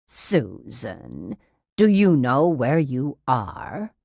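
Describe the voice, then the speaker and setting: A woman's voice: low voice, animated, measured pace, dry recording, studio quality. A woman reading an audiobook as a severe nurse who talks in a syrupy, falsely soothing, patronising and overly reasonable manner. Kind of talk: dramatised audiobook narration.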